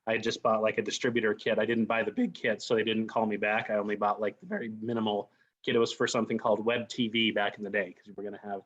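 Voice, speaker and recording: A man's voice: on the low side, little pitch movement, quick pace, fairly bright tone. Man telling his story, dull lo-fi sound. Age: 40s. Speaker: company president, guest speaker. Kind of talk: casual personal storytelling.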